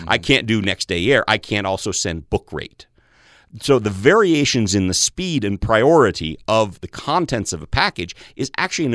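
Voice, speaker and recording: Low bass man, tech guest, lively explainer, dry studio sound. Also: no room sound. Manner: explains patiently with everyday analogies. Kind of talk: radio interview.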